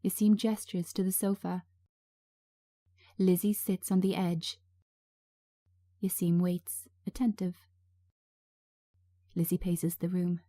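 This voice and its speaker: A woman's voice: lowish voice, level tone, slow, pauses often, dark tone. A woman narrating a drama script, reading out stage directions.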